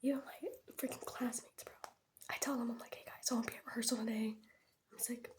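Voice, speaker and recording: A woman's voice: on the high side, little pitch movement, fast. Whispering teenage girl, chatty and casual. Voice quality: breathy whisper. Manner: chatty, wry, youthful slang. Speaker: ASMR host showing products. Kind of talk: whispered ASMR, casual rambling storytime.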